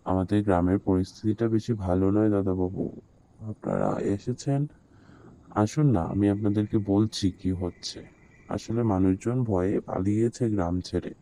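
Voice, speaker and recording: A man playing a very dark-voiced, lamenting village servant. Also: on the low side, fairly even intonation, normal speed, lightly acted. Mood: sorrowful, deferential. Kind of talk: horror cartoon story dubbing.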